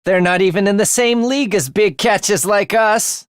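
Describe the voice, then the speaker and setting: A man's voice: sits high, normal speed, clean tone, no room sound, studio quality, close to an everyday voice. A man voicing an eerie, bloodthirsty young male student in a video game, with a sinister, theatrical manner that savors morbid details. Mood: urgent. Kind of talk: video game character voice acting.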